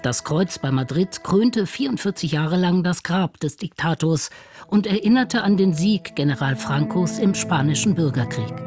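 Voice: mid-range voice, lively intonation, normal speed, darkish tone, soft background music. A narrator reading a scripted radio documentary.

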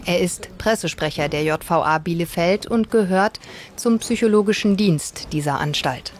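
A woman's voice: on the low side, moderate pace, slight background noise. A woman working as a radio reporter, giving scripted reportage and asking interview questions.

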